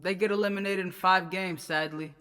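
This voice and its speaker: A man with a very high, bright, forceful voice in long reverb. He plays blunt comic sidekick with slangy banter, swinging animatedly in pitch. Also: steady tone, brisk, smooth. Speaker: sidekick in a sports recap. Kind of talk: comedic banter.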